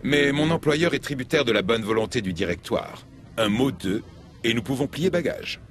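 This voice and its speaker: A man's voice: medium pitch, expressive, moderate pace, faint music behind, close to an everyday voice. An actor playing a suave, formal and cautious businessman in a video game, evasive in his manner. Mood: cautious, courteous. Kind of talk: video game dub dialogue.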